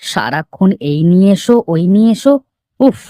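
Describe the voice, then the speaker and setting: A woman's voice: mid-range voice, sing-song, normal speed, mellow, dry recording, studio quality, natural voice. A woman voicing a grumbling, henpecked village husband and father in a cartoon story. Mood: exasperated. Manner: grumbling, complaining.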